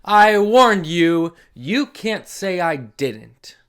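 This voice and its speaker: A man reads a picture book aloud for young children. His voice sits fairly high and swings widely in pitch. He takes his time, leaning on key words for drama and speaking directly to his young listeners. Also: expressive, very slow, fairly bright tone, clear, resonant tone. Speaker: host of a children's read-along story series.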